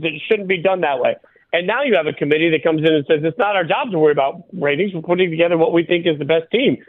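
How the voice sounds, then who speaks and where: A man's voice: fairly high pitch, no room sound. A man speaking as a sports journalist guest, arguing his case with rhetorical questions over a thin phone-like radio line. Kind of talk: sports debate by call-in.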